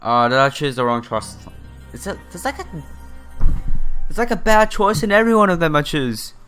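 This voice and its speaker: Male gameplay narrator, bright forceful voice, dramatic visual novel read with offhand asides, soft music underneath. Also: a little high, big pitch swings, normal speed. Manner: theatrical, breaks off into exclamations and asides. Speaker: let's player. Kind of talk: reading a visual novel aloud with casual asides.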